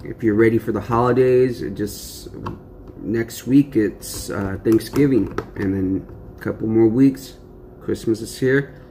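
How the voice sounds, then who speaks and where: Laid-back slangy young man, low voice. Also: a little slow, warm tone, a little hiss or hum. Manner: laid-back, slangy, rambling with many fillers. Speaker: mukbang vlogger. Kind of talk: casual eating-show chat.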